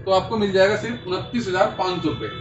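A man in his thirties pitching TVs as a shop salesman in a large showroom, calling the listener sir in a quick sales patter. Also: expressive, far from the mic, music in the background. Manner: fast salesy patter, addresses the host as sir. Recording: roomy echo from off-mic, dull lo-fi sound.

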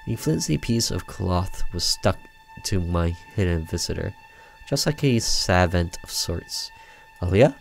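A young man reading a horror visual novel aloud as a let's player, with theatrical storytelling. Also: low voice. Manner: theatrical storytelling with side comments. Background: game music with guitar.

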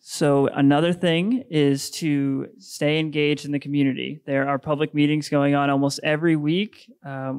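A man's voice: on the high side, darkish tone, clean tone, dry recording, studio quality. A man in his thirties, a waterkeeper, giving a casual, encouraging talk at a public forum. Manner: casual, encouraging, many fillers.

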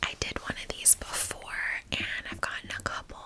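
A woman whispering a guided visualization for ASMR in a breathy, unvoiced whisper, gentle and soothing with a casual rambling manner. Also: normal speed. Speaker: ASMR video host.

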